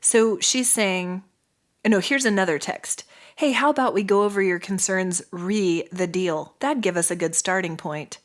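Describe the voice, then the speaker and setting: A woman's voice: medium pitch, normal speed. A woman co-hosting a podcast, reading messages aloud and giggling through casual banter with her sibling. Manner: giggly, reads out messages with a laugh. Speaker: podcast co-host.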